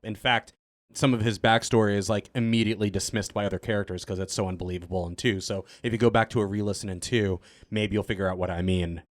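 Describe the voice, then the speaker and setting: Man, lowish voice, dry close recording, informal, thinking out loud, cast member answering questions. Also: steady tone, fast talker, dry recording. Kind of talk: casual Q&A chat.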